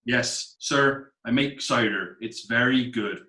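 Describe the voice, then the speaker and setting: An actor playing a nervous defendant who answers the court politely and hesitantly. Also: male voice, mid-range voice, slow pace, sharp tone. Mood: deferential. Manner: hesitant, deferential. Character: nervous evasive male defendant, cider maker. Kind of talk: amateur radio drama. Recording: echoey room.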